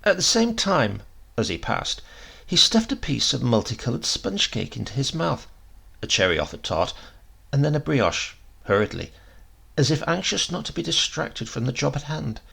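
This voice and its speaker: A man narrating a short story as a dramatic audiobook reading, with deliberate phrasing and emphasis. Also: medium pitch, very animated, creaky, pushed and bright, breathy edge.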